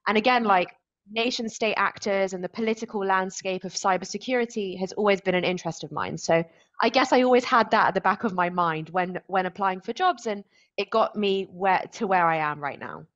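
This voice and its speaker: A woman's voice: medium pitch, brisk. A young woman with a London accent, chatting casually as an interview guest, with many fillers. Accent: London English. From London, England. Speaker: threat intelligence analyst, guest. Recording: dull lo-fi audio.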